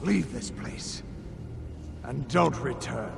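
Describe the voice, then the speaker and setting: An actor playing a proud, suspicious prince corrupted by power, imperious and theatrical, in a video game cutscene. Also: male voice, medium pitch, expressive, slow, gravelly, noisy background, exaggerated voice. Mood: accusing, stern. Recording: long hall reverb.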